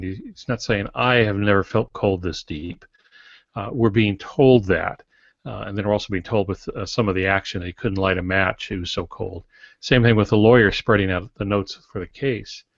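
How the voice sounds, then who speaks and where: A man co-hosting a podcast, teaching conversationally in a measured, instructive manner. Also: lowish voice. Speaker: podcast co-host. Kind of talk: conversational teaching on fiction writing. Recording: roomy, slightly muffled podcast recording.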